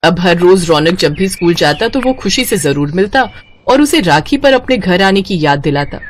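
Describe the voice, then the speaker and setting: A woman's voice: on the low side, lively intonation, normal speed, slight background noise. A woman narrating a scripted cartoon moral story as its storyteller.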